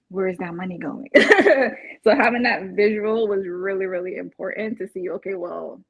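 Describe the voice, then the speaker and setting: A woman from Barbados with a husky voice, talking casually and chattily, as in a testimonial interview. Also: mid-range voice, dry recording. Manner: chatty, laughs often while talking. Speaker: interviewed client, first-time home buyer. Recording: dull, muffled lo-fi audio.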